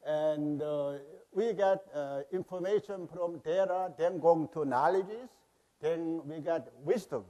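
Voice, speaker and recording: A man with a Korean accent presents to a conference audience through a podium microphone. He is a bit nervous and pushes quickly through his material. His voice sits somewhat high for a man. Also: warm tone. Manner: says he is nervous, rushes through. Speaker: conference speaker, university president. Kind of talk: presentation talk. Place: large conference hall.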